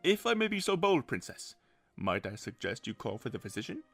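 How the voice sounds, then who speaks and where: A loyal captain of the royal guard , acted by a man, with a forceful, bright voice that swings widely in pitch. He is kindly and deferential, full of avuncular concern, in a fantasy audio drama. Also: big pitch swings, brisk, gravelly, slightly rough, soft background music, full character voice. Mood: gently conspiring.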